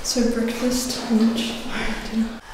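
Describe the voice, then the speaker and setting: A woman's voice: a little high, monotone, takes it slowly. Young woman vlogger, whispery and casual, camera mic in echoey empty rooms. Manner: often whispering, swears casually. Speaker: urban explorer vlogger. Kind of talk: casual handheld vlog commentary. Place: inside an abandoned care home. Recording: echoey rooms, noisy background.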